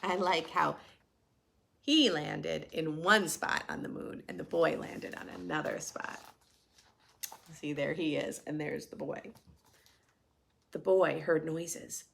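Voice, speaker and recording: A woman reads a picture book aloud for preschoolers. Her delivery is lively and widely varied in pitch, with some creak in the voice. She moves between expressive storytelling and chatty questions to the children. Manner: expressive, questioning asides to young listeners. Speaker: children's story reader and host.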